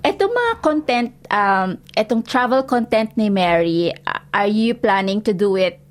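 A woman's voice: mid-range voice, expressive, moderate pace. A woman host interviewing a mother and daughter, switching freely between Tagalog and English with short encouraging murmurs. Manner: mixes Tagalog and English, friendly prompting. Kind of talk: casual bilingual interview.

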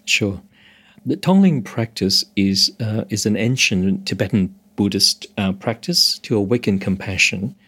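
Low-voiced meditation teacher from Hong Kong. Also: male voice, unhurried, bright, forceful tone, husky. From Hong Kong, moved to Australia as a teenager. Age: 50s or older. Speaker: meditation instructor, interview guest. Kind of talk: explanatory interview talk.

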